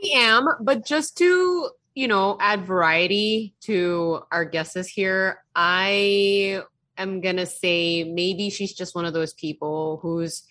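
A woman's voice: mid-range voice, slow, fairly bright tone. A woman co-hosting a call-in show, playful and teasing. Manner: playful, teasing, laughs often. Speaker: co-host. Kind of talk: casual call-in talk show.

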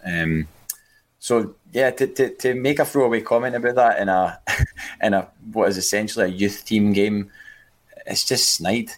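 A man's voice: on the low side, moderate pace. A man co-hosting a podcast, talking casually with frequent restarts and repeated words. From Scotland. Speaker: podcast co-host. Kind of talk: casual chat.

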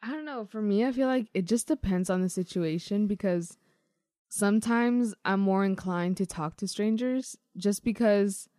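A young woman talks casually as a podcast co-host. Her pitch is average and her phrasing is tentative, with frequent hedges. The recording is dry and free of room echo. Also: moderate pace, warm tone. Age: young adult. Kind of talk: casual chat.

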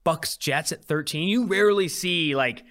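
Higher-pitched man talking sports betting. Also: normal speed, fairly bright tone. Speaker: sports podcast host. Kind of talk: casual sports betting analysis chat.

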